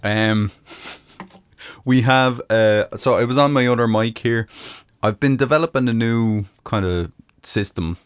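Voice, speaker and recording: A man's voice: medium pitch, a little slow, smooth, no echo, clean studio sound. A man with an Irish accent hosting a live music stream, chatting casually with many ums and uhs. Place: home room in Michigan. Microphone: close microphone.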